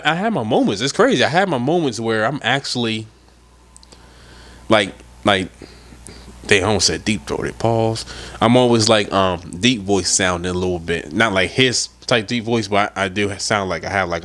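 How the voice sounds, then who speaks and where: A young man talks loosely on his own podcast, rambling and swearing as he goes. His voice sits a bit low and sounds slightly stuffed up from a cold. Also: normal speed, a bit bright. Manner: rambling, profane, laid-back. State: stuffy nose from a head cold. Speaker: podcast host. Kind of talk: casual solo podcast talk.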